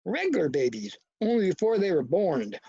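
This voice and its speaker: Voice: medium pitch, very animated, moderate pace, warm tone, breathy edge, no echo, highs cut off. A reader voicing a timid, earnest young boy from a novel, talking in a plain, guarded, slangy way.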